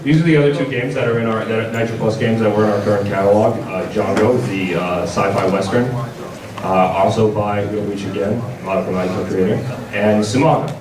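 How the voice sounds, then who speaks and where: A man giving an informal panel presentation as a game publisher, speaking haltingly with stammered repeats. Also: on the low side, steady tone, crowd noise. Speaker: visual novel publisher presenting at a convention panel. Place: large indoor convention panel room. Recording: echoey, noisy convention room from far off.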